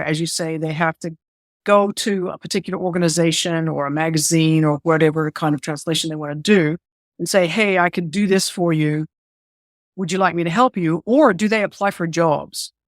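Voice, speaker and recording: A woman's voice: fairly low pitch, normal speed, dry recording, studio quality. A woman hosting a podcast interview, curious and thinking aloud as she frames her questions. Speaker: podcast host.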